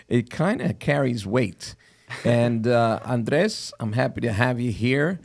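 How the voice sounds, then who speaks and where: Male interview host, gravelly fry in the voice, casual and jokey, conversational delivery. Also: medium pitch, lively intonation, slow pace. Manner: joking, conversational. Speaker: host of a real estate show.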